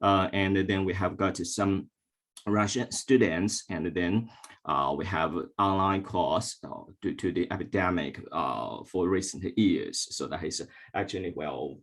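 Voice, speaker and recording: A middle-aged Chinese language teacher, a man speaking with a Chinese accent, giving a halting ceremony speech with many fillers and restarts. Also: deep voice, a bit bright.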